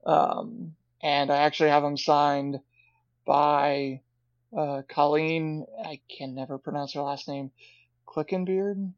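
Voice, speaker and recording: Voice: mid-range voice, fairly even intonation, slow pace, no room sound, dull audio. A podcast co-host in casual chat, hesitant and full of fillers.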